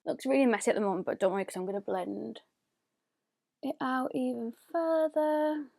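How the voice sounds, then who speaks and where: A woman, chatting through a makeup tutorial at home, often dropping to a hushed near-whisper. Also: high-pitched, moderate pace, gravelly, dry recording, studio quality. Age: 20s. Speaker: beauty YouTuber. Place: home, indoors. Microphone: close mic.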